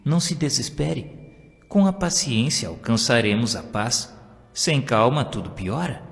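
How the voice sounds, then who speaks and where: A Brazilian man voicing a gentle, patient spiritist medium who speaks calmly and reassuringly in a story. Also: medium pitch, measured pace. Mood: consoling. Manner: calm, reassuring. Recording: long hall reverb, music behind.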